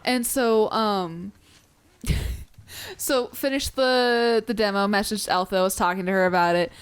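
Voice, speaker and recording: Chatty young American woman, animated storyteller. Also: mid-range voice, measured pace, clean tone, no echo. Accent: Pacific Northwest American. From Pacific Northwest, US. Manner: animated storyteller, acts out quotes, swears casually. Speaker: co-host of a gameplay let's play. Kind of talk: casual chat over gameplay.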